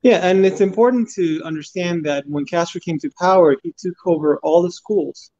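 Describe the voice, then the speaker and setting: A man in his forties from Cuba, a guest answering interview questions in an earnest, steady way over his phone. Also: high voice, normal speed. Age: 40s. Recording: dull phone audio.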